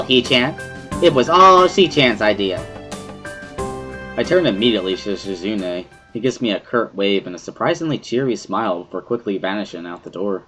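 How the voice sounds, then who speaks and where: A man reading first-person visual novel narration in a measured, reflective way, as an amateur dramatic reading. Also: lowish voice, breathy edge. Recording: music behind the voice.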